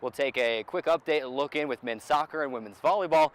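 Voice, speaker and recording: A man's voice: on the high side, brisk, smooth. An American man hosting a sports show and interviewing a guest, speaking outdoors beside a football field.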